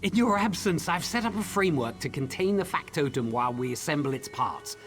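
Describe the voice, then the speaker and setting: A man playing an eager, scholarly researcher of clockwork machines in a video game, with an expressive, explanatory manner. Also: on the high side, brisk. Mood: excited, instructive. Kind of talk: video game character dialogue.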